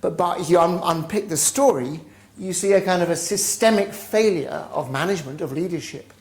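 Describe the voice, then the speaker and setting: A man giving a conference talk as a business school professor, animated and often restarting his sentences. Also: fairly high pitch, a little fast. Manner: animated, self-correcting lecturer. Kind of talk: conference lecture. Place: large lecture hall. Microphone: handheld or lectern mic, muted at first. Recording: echoey hall, faint ambience.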